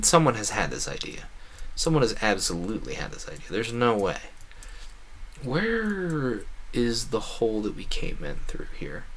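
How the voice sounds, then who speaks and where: Guy with a lowish voice streaming a game, just chatting and rambling on and on. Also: a bit bright, a little hiss or hum. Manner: talkative, musing at length. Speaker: video game livestreamer. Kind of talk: casual rambling livestream chat over gameplay.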